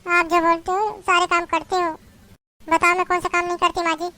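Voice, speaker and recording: A woman's voice: very high-pitched, little pitch movement, fairly quick, pushed and bright, full character voice. A woman playing a young daughter-in-law who pleads with and defends herself against her mother-in-law in a family drama. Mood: pleading. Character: young married daughter-in-law, meek and put-upon.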